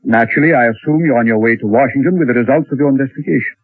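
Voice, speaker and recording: A man playing a suave Martian who poses as a rancher in an old-time radio drama, over-polite and unhurried, with quiet menace. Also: mid-range voice, husky, no echo, soft background music. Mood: insinuating. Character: suave, courteous male Martian posing as ranch owner. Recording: dry, tinny old radio recording.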